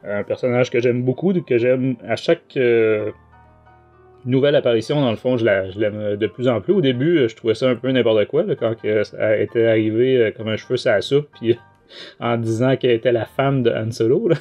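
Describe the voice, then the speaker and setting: A man's voice: mid-range voice, normal speed, warm tone, dull audio. A man with a Quebec French accent hosting a casual review discussion, explaining things in colloquial language. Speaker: podcast host. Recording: music under the voice.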